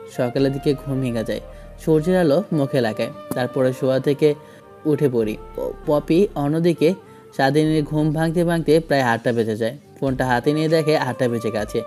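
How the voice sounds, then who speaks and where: Mellow man narrating a romantic story. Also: a little high, normal speed, faint music behind. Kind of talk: first-person dramatic story narration.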